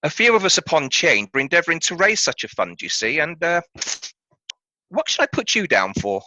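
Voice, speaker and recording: A man playing a polite, earnest gentleman charity collector in a radio play, speaking formally and courteously. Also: a little high, big pitch swings, moderate pace, no echo, highs cut off, heavily acted. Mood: polite, pleading.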